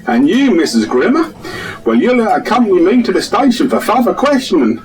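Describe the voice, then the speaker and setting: A man acts an officious village policeman in a comic radio drama. He speaks formally in a high, very animated male voice, with faint music behind. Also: a little fast, low-quality recording. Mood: stern, official.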